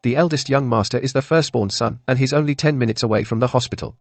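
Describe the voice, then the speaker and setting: A man's voice: mid-range voice, fast talker, creaky, no echo. A man acting as an anxious, earnest lawyer who pleads urgently in a radio drama.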